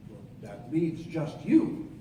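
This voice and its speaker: An actor playing a lovelorn, bitter former basketball coach in a 1940s-style stage romance, dramatic and halting. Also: male voice, a little high, huge pitch swings, mellow, exaggerated voice. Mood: tender, hopeful. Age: middle-aged. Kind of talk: live stage radio play, 1940s film romance parody. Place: theatre stage, live performance. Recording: echoey, distant stage recording with noise.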